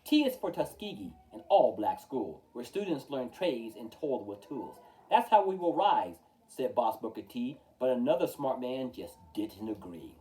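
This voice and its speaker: Male storytime reader: mellow tone, lively swooping intonation, emphatic rhyming verse, recorded a little far from the mic. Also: mid-range voice, moderate pace, few pauses. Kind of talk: expressive children's picture-book read-aloud. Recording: distant from the mic.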